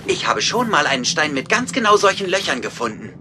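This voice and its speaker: An actor voicing a clumsy, excitable animal scientist in a children's cartoon audio drama, explaining things hesitantly. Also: male voice, fairly high pitch, fairly quick, over music. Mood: fascinated.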